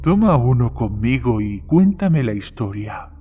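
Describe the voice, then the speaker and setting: A man as a curious, medium-pitched skeptical newcomer. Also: very animated, measured pace, warm tone, faint music behind.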